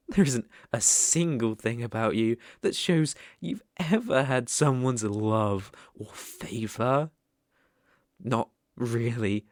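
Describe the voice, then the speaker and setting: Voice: mid-range voice, a little mellow, no room sound, studio quality. A British-accented performer playing a sadistic, haughty demon in an ASMR roleplay, with a mocking, condescending, theatrical delivery. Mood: cruel mockery. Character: sadistic, haughty demon lord sneering at human sacrifices.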